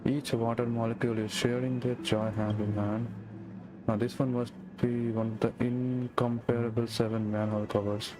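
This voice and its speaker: A man's voice: mid-range voice, flat delivery, moderate pace, noisy background. A man giving casual game playthrough commentary, reacting off the cuff and reading on-screen text aloud with some stumbles. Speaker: game streamer.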